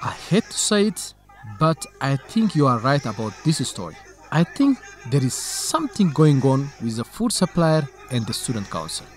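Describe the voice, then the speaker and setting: A man's voice: mid-range voice, sing-song, moderate pace, music in the background, natural voice. A man playing an earnest assistant to a reporter in a radio drama. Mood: earnest, thoughtful. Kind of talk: English-teaching radio drama dialogue.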